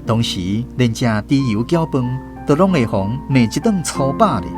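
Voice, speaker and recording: A man narrates a storybook radio drama with a Taiwanese accent. His mid-pitched voice moves with lively intonation over clearly audible music. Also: moderate pace.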